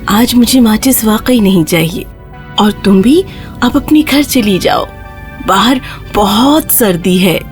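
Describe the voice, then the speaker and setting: A woman's voice: medium pitch, expressive, faint music behind, close to an everyday voice. A woman voicing a reluctant but sympathetic lady passer-by in a children's cartoon story. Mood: gently refusing.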